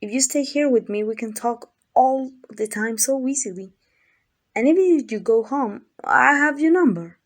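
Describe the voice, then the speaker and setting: A woman's voice: fairly high pitch, expressive, moderate pace. A woman playing an obsessive, doting yandere kidnapper in an ASMR roleplay, gushing and coaxing with affection. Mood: earnest, reassuring. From Mexico.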